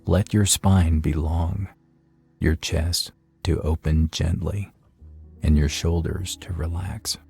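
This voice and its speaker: A man leading a scripted guided meditation in a soothing, unhurried manner with long pauses. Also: low-pitched, steady tone, creaky, breathy edge. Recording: soft music behind the voice. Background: faint meditation music.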